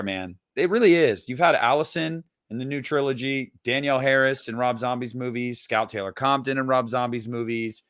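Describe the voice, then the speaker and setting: Dude on a podcast just rambling, swearing, lotta "like" and "you know". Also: male voice, mid-range voice, a bit bright. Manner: rambling, swears casually, lots of fillers. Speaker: podcast co-host. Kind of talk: casual chat.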